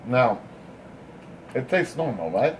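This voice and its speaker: A man hosts a casual candy-tasting chat in a studio room. He talks in an animated way, tells stories and swears now and then. His voice sits in a mid range over a faint background hum. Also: medium pitch, slow, rough recording. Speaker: host. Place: studio room with equipment racks.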